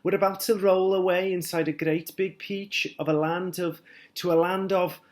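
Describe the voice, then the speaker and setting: A man voicing a gentle, kind female ladybird in a children's storybook reading, with a raised, soothing, motherly voice. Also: high voice, flat delivery, normal speed, voice pushed up, natural voice.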